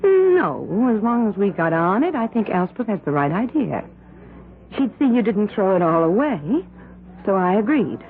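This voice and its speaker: Chatty, affectionate wife, tinny radio. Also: female voice, medium pitch, big pitch swings, moderate pace. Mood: firm, cheerful. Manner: bright, chatty, emphatic. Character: breezy, affectionate, trusting well-off wife. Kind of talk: 1970s radio drama acting. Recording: tinny, narrow-band old radio broadcast.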